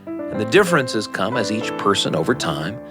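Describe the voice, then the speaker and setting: A middle-aged man hosting and telling stories from a script, with measured, reflective phrasing. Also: fairly low pitch, big pitch swings. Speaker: host and storyteller. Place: dry studio. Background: faint music.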